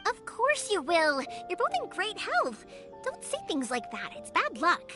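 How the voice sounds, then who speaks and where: A woman's voice: extremely high pitch, wildly swooping pitch, measured pace, full character voice. An actress voicing a cheerful young female fireworks maker in a game, bubbly and reassuring. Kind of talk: game voice acting. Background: soft music.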